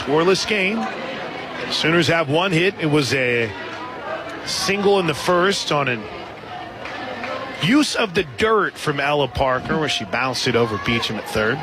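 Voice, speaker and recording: Guy doing softball play by play on radio, kinda high voice, really loud stadium crowd and noise behind him. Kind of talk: live softball play-by-play commentary. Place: outdoor softball stadium broadcast position. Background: loud crowd and ballpark ambience.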